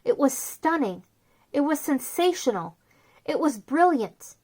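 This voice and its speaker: A woman reading a children's picture book aloud with theatrical, drawn-out emphasis on key words. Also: high-pitched, big pitch swings, a little mellow. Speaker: children's storyteller, host.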